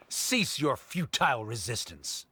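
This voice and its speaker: A man's voice: mid-range voice, huge pitch swings, slow, background noise. A man playing a stern, haughty academic official, a game villain who speaks in formal, condescending legal terms. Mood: haughty, threatening. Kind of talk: video game voice acting.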